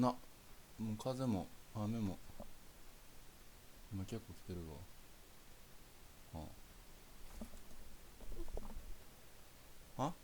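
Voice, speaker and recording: A man's voice: lowish voice, a little mellow, a little hiss or hum, full character voice. A young man with a Kansai Japanese accent playing a caring boyfriend in a naturalistic phone-call audio drama, chatting casually and hesitantly. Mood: concerned, casual. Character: caring young boyfriend reassuring his anxious girlfriend.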